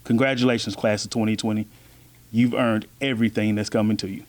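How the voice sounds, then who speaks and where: A man's voice: on the low side, level tone, fairly quick. A man giving a heartfelt, encouraging address to a graduating class.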